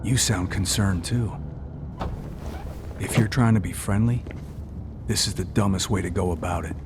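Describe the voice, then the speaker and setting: Man as a low-voiced, sarcastic covert operative. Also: normal speed, background noise, close to an everyday voice. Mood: dry, needling. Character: dry, sarcastic, seasoned male covert operative. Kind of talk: video game character dialogue.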